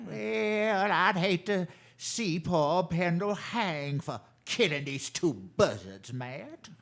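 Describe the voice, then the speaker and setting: An actor playing a dry, blunt frontier town doctor with a deliberate manner in a Western radio drama. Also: male voice, high voice, expressive, creaky, a bit bright, exaggerated voice. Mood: dry, grim.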